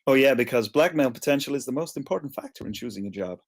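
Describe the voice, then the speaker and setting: A man's voice: mid-range voice, animated, fast talker. A man playing an exasperated, teasing young friend in a screenplay read, talking with sarcasm.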